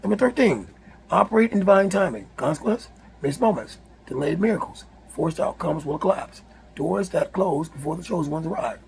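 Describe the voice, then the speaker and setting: A man with a raspy, hoarse voice, reading a numbered list aloud with casual asides, often stumbling and rereading words. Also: fairly high pitch, expressive, moderate pace, darkish tone, slight background noise. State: hoarse from yelling. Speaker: spiritual video host.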